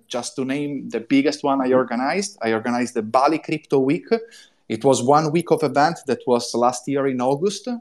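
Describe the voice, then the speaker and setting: A man in his thirties with an Italian accent, talking enthusiastically as a guest on a live audio room show and explaining his work step by step. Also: medium pitch. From Italy. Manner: enthusiastic, explains step by step in non-native English. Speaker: guest, crypto consultant.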